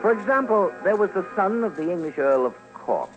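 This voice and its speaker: Animated male radio documentary narrator. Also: high voice, a little fast, muffled recording, music in the background. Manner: theatrical, emphatic. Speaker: commentator. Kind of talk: dramatic scripted documentary narration.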